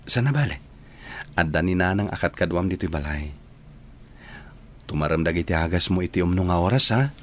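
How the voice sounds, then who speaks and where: A man performs a devoted husband in a radio drama. His voice is fairly low and earnest, full of care and worry, over faint background noise. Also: lively intonation, brisk, long pauses. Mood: gentle, caring. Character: devoted, worried husband caring for his sick wife. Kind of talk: radio drama acting in Ilocano.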